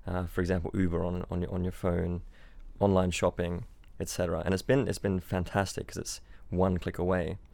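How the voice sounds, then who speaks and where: A man's voice: low voice, monotone, brisk, vocal fry, few pauses, a little mellow, no echo. A young man born in Switzerland and raised in Australia, a startup founder chatting casually as a podcast guest, often repeating words and restarting sentences. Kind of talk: casual interview chat. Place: London.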